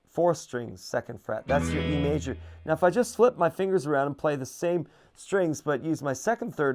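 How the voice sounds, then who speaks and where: Gravelly-voiced man teaching guitar. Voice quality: low voice. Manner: relaxed and chatty, with many fillers. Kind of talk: casual guitar lesson.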